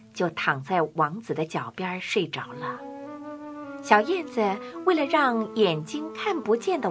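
A woman narrating a children's fairy tale from a script, with an expressive storytelling manner. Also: medium pitch. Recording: clear music bed. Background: music.

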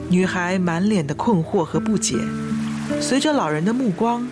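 A woman's voice: fairly low pitch, animated, moderate pace. A woman narrating a scripted radio drama in a lyrical storytelling manner over music. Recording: loud guitar music behind the voice.

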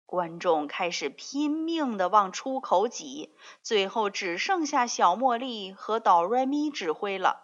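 A woman narrating a scripted fairy tale for young children in an expressive storytelling manner. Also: medium pitch, a little slow, few pauses, highs cut off.

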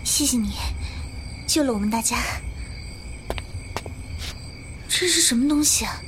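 A woman's voice: a little high, lively intonation, a little fast, noisy background, lightly acted. A woman playing an earnest, anxious young survivor in an audio drama, with emotional, pleading delivery. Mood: shaken, friendly.

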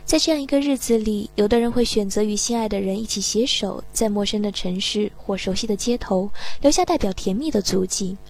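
Woman radio host with creaky edges. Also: medium pitch, a little fast, few pauses, darkish tone, rough recording. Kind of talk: scripted radio narration. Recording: music playing behind the voice. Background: background music.

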